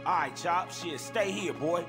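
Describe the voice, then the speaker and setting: A man's voice: high voice, measured pace. A man playing a streetwise, exasperated, profane American hustler in a video game cutscene, talking in casual slang. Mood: wary. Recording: music behind the voice.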